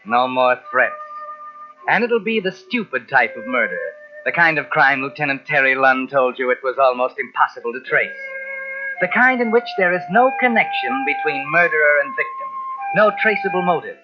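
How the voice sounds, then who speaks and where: An actor playing a sinister, knowing narrator in a 1940s radio mystery, taunting a guilty man in a deliberate, insinuating way. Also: mid-range voice, close to an everyday voice. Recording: muffled old radio tape with music behind.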